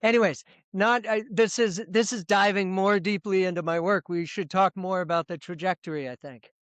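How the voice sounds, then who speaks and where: A man's voice: high voice, fairly even intonation, normal speed, a bit bright, no room sound. A middle-aged man speaking casually as an interview guest on a video call, rambling with frequent restarts and repeated words. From United States. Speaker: presentation coach, guest.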